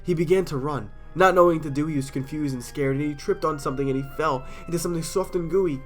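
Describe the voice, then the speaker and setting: A man's voice: a little high, quick pace, faint music behind. A man with a stuffy cold, casually reading horror stories aloud in a chatty, self-deprecating way and sometimes stumbling over words. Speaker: storyteller.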